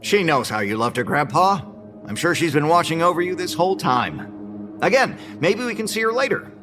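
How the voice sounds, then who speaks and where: Slick male showman, high voice, sweeping intonation, theatrical pauses, keynote host in a radio drama. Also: big pitch swings, over music. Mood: smooth, placating. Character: showy, slick male presenter, inventor's grandson. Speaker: event presenter.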